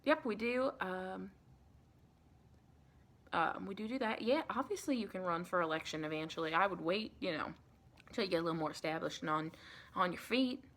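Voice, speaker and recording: An American woman playing a sweet, reassuring vampire in a chatty, rambling ASMR roleplay, with a creaky voice. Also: fairly low pitch, moderate pace, pauses often, a bit bright. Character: sweet, reassuring female vampire welcoming a new recruit.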